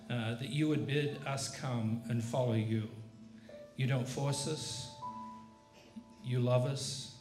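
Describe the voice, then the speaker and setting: Male pastor in prayer, medium pitch, reverent and measured, reverberant sanctuary, guitar music clearly underneath. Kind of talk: extemporaneous public prayer. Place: church sanctuary during live service. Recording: echoey room, music behind voice.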